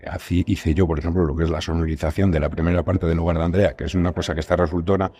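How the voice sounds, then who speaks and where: Deep-voiced man chatting. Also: level tone, racing, warm tone, slightly rough. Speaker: scriptwriter guest. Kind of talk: casual chat.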